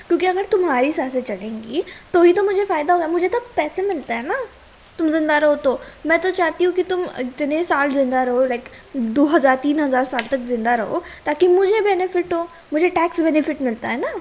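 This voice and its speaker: A woman's voice: high-pitched, animated, a little fast, darkish tone. A young woman with an Indian accent playing a caring but easily exasperated, nagging girlfriend in a casual ASMR roleplay, mixing two languages. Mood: angry, sarcastic. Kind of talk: ASMR girlfriend roleplay, Hindi mixed with English. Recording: hiss and rain sound behind.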